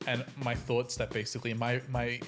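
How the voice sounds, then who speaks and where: A man with a mid-pitched voice talks casually about himself as a podcast panellist. He is halting and self-deprecating, and a guitar plays clearly behind him. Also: completely flat delivery. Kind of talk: casual chat, personal anecdotes. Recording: guitar music under the voice. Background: plucked guitar music.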